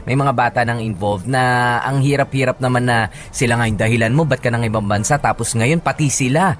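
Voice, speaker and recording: A man's voice: medium pitch, fairly quick. A Filipino man works as a radio DJ and co-host, speaking close to the studio mic over a music bed. His commentary is casual and conversational, with some teasing banter.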